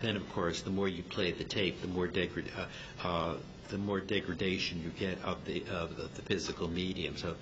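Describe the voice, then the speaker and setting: Deep-voiced, halting man explaining technical matters. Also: flat delivery. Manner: halting, hesitant, explanatory delivery. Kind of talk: informal technical talk to a club meeting. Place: club meeting room. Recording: dull, noisy amateur meeting recording with rumble.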